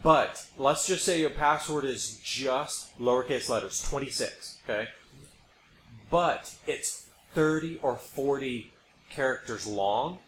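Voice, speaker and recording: Man, mid-pitched voice, casual opinionated explainer, emphatic podcast host, echoey recording, faint noise. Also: slow, a bit bright. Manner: emphatic, repeats points for effect. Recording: roomy echo, background noise.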